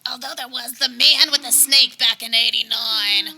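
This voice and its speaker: Casting: a woman plays an indecisive, easily pleased superintendent, highish with gravelly fry, comic radio theater. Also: moderate pace, pushed and bright, full character voice. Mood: dithering. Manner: hesitant, rambling. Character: dithering, easily satisfied apartment superintendent. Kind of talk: comedic radio theater acting.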